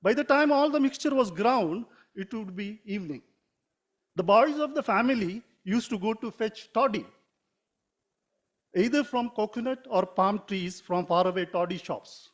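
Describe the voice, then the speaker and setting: A man from Mangalore with an Indian English accent, a native Konkani speaker, reading a formal prepared talk in a measured way, now and then correcting himself. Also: sits high, expressive. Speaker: writer giving a talk.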